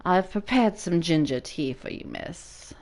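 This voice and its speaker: A woman's voice: on the low side, expressive, a little slow, darkish tone, voice pushed down. A woman voicing a dutiful, composed male butler in a dramatic video game reading. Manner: formal, restrained, servile.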